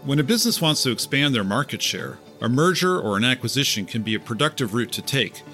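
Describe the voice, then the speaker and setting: A man hosting a podcast, reading scripted narration in a clear, even, polished way and putting questions to his guest. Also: mid-range voice, fast, faint music behind. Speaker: podcast host.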